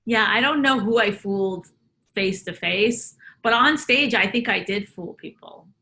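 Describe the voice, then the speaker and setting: Bright-voiced woman telling rambling stories. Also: mid-range voice, unhurried. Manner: rambling, loose storytelling with many fillers. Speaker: comedian guest. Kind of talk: casual interview chat.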